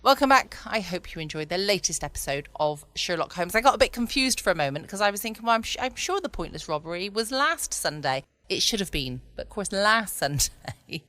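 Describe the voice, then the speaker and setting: Bright-voiced woman podcasting. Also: mid-range voice, very animated, a little fast. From United Kingdom. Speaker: podcast host. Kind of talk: casual chat. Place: at home.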